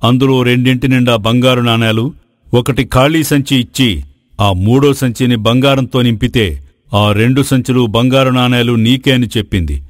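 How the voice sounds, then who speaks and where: A kindly, wise king played by a man talks in a medium-pitched male voice. He explains with fatherly authority in moral-story style. The sound is dry and close. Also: moderate pace, dry recording, natural voice. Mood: explaining. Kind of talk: Telugu moral story voice acting.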